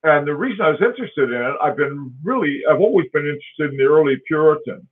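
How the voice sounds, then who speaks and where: A man's voice: fairly high pitch, animated, fast talker, breathy edge. Man on a tinny call line. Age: 40s. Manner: rambling, laughing often, with many fillers and restarts. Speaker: host. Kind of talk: casual live-stream chat.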